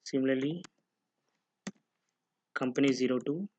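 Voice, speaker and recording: A man with a mid-pitched voice narrates a software lesson over background noise and clicking. He explains things step by step, often asking a question and then answering it himself. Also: a little slow, dull audio. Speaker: software instructor. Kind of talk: screen-recorded tutorial narration.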